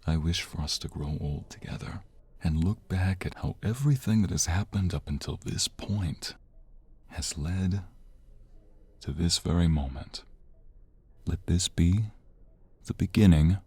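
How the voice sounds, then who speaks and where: A man playing a tender, devoted mobster husband in an ASMR romance roleplay, speaking in a hushed, earnest, husky, gravelly voice. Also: low-pitched, exaggerated voice. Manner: earnest, sentimental, hushed, unhurried.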